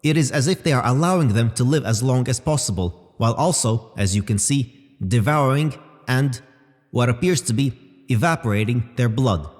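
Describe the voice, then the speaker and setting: A man's voice: medium pitch, animated, darkish tone, close to an everyday voice. Sci-fi audio drama robot, male actor: formal, analytical and unruffled, with swooping intonation, set in long reverb. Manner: formal, measured, explanatory. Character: calm analytical male robot companion, precise and unhurried. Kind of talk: scripted audio drama acting.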